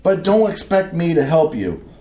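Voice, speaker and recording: A man's voice: a little high, sing-song, moderate pace, warm tone, reverberant. A man playing a grumbling, grumpy husband. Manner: grumbling and complaining, with folksy oaths. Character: grumpy, set-in-his-ways middle-aged husband. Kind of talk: staged play reading.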